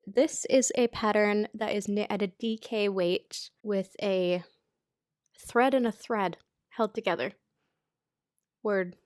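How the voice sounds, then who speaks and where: A woman's voice: mid-range voice, fairly even intonation, slow pace, pauses often, darkish tone, dry recording, studio quality. A young American woman in her twenties, badly congested, chatting casually and self-deprecatingly as she runs through her picks for the season. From United States. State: congested, stuffy-nosed. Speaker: knitting YouTuber. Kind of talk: casual chatty pattern roundup.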